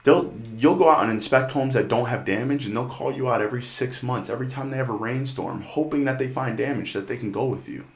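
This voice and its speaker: A man with a deep voice, a podcast guest talking sales in an intense, driving way. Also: fast, few pauses, reverberant. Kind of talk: casual sales talk.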